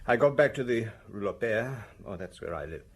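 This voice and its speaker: Over a faintly noisy recording, a troubled British man under questioning in a radio drama. He is tipsy and confessional, halting as he goes, and his fairly low voice swings expressively. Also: expressive. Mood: maudlin, confessional. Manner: hesitant, halting, rambling. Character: troubled, tipsy, confessional male murder suspect.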